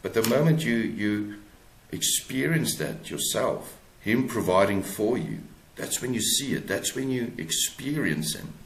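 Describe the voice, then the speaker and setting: Male interview guest, South African accent, low-pitched, thoughtful and halting delivery, echoey room. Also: animated, a little hiss or hum. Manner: halting, repeats words as he searches for phrasing. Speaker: guest author. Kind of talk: reflective interview talk. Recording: roomy, echoing sound.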